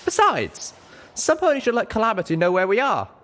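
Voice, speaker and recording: Man voicing a young female pony, very high pitch, determined, wry, plucky, storybook heroine. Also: wildly swooping pitch, brisk, fairly bright tone, exaggerated voice.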